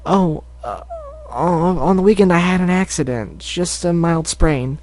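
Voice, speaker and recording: A man voicing a shy, gentle young filly who speaks softly and hesitantly. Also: a little high, a little slow, no room sound, voice pushed up, lightly acted. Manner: soft and hesitant.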